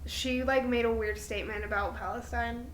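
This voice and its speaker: A woman's voice: a little high, steady tone, moderate pace. A young woman co-hosting a podcast, chatting casually and circling through her thoughts in informal, hesitant phrasing. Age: young adult. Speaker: podcast co-host. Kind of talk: casual unscripted chat between friends. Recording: roomy echo with background noise.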